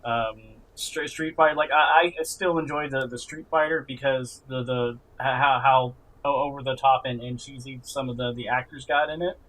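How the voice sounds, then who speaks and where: A man co-hosting a casual podcast, halting and sweary, often stammering and repeating his words. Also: medium pitch, steady tone, fairly bright tone, low-quality recording. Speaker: podcast co-host. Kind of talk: casual group chat about films and games.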